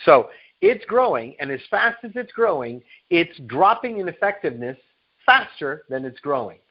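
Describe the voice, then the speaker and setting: Male executive sales pitch, slightly high voice, emphatic and repetitive, muffled lo-fi conference call sound. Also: big pitch swings, normal speed. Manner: emphatic, repeats phrases, poses and answers own questions. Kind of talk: promotional sales presentation. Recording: dull, lo-fi conference call recording.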